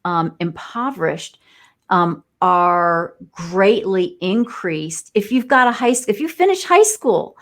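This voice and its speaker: A woman's voice: lowish voice, expressive, takes it slowly. Thoughtful woman guest explaining at length. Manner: thoughtful, rambling with fillers and restarts. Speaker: guest entrepreneur. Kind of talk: conversational live discussion.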